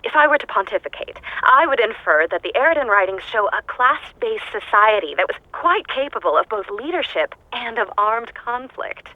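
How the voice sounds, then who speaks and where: An unhinged lonely scientist. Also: female voice, a little high, sing-song, normal speed, few pauses, no echo. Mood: rambling. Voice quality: dry, clipped, detached delivery drifting into manic glee. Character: lonely, unhinged woman scientist alone on a hostile planet. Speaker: scientist recording audio logs. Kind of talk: game audio log monologue. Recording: dull lo-fi game audio log.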